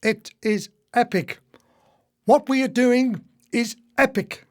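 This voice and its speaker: A woman's voice: fairly high pitch, slow pace. A woman playing an eager elderly co-conspirator in a radio play, with a grandiose, admiring manner. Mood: proud, determined.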